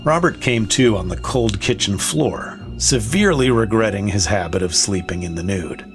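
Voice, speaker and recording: A man's voice: on the low side, expressive, moderate pace, faint music behind. A man reading a comic horror story as scripted narration.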